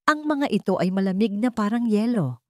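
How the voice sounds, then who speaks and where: A woman's voice: mid-range voice, animated, normal speed, no echo, studio quality. A woman narrating a romantic melodrama as a scripted, dramatic story.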